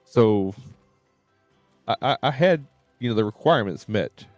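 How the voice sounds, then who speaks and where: A man's voice: fairly low pitch, expressive, unhurried, a little mellow, no echo, dull audio. A man chatting casually on a livestream, informal and rambling, with repeated filler phrases and some cursing. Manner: informal, mildly cursing, repetitive "you know". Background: faint game music and dialogue.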